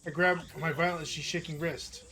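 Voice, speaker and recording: A hoarse man streaming, reading a visual novel aloud in a casual, chatty way with small slips. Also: a little high, steady tone, normal speed, pushed and bright. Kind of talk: narrating a visual novel live.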